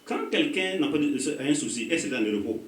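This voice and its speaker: Pastor with a West African accent preaching in an echoey hall. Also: male voice, a little high, lively intonation, fairly quick, recorded from a distance, slight background noise. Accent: West African French. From Burkina Faso. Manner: prompts the congregation with "quoi?" to finish his phrases. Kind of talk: call-and-response sermon. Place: church hall.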